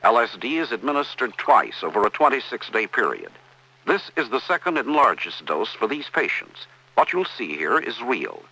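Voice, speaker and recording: A man's voice: mid-range voice, very animated, moderate pace, bright, forceful tone, poor audio. A man reading scripted documentary narration on an old educational film.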